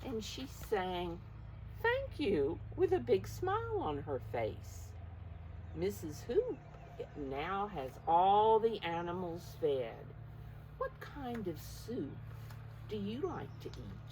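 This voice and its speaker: A woman's voice: medium pitch, big pitch swings, slow pace, a little mellow. A woman teacher reading a picture book aloud to preschoolers, encouraging them with simple explanations and questions, emphasising key words, in a slightly noisy recording. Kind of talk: interactive picture-book read-aloud for young children.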